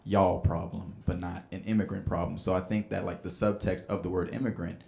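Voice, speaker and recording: A man's voice: low voice, flat delivery, a little fast, creaky, darkish tone. A man in the audience asking a panel a question, rambling with many fillers and restarts. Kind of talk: unscripted question from the floor. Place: event hall with audience. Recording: echoey room.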